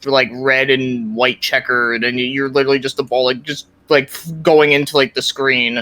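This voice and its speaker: A man's voice: mid-range voice, flat delivery, normal speed, bright, forceful tone, no room sound. A young man chatting casually as a podcast guest about his interests, enthusiastic, slangy and rambling. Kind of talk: casual gaming podcast chat.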